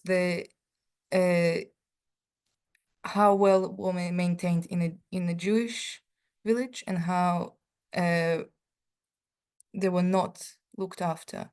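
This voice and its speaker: A middle-aged woman, speaking on a panel and sharing a personal account in a halting way, full of fillers and restarts. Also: fairly low pitch, darkish tone.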